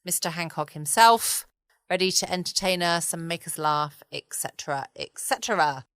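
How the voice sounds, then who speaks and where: A dry, close recording of a woman. She hosts a bedtime podcast, chatting and rambling casually in a slightly low, relaxed voice. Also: normal speed, smooth, no echo. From England. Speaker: podcast host. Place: at home indoors, quiet.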